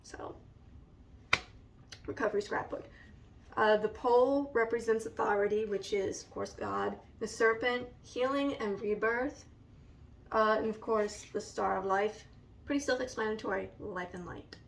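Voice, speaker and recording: Woman, raspy, hoarse, gravelly, slow and halting, a bit off-mic. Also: mid-range voice, distant mic. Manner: slow and hesitant, many ums. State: hoarse from allergies. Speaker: vlogger. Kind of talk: casual show-and-tell vlog.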